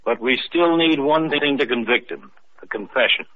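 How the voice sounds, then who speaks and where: Curt radio-play police detective. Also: male voice, medium pitch, animated, normal speed. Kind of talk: 1940s radio acting. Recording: tinny old radio recording with faint music.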